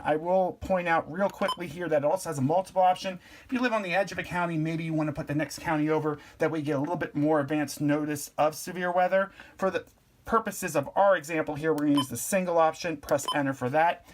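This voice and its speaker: Man, from Pennsylvania, tutorial host, relaxed plainspoken instructions, somewhat high voice. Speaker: product reviewer and tutorial host. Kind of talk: casual how-to demonstration.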